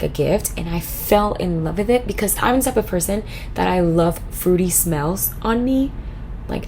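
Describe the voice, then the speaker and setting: A woman, casually answering viewer questions in a vlog, chatty and relaxed with lots of fillers. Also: fairly low pitch, vocal fry, slight background noise, poor audio. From Puerto Rico. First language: Spanish. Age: 20s. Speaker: vlogger.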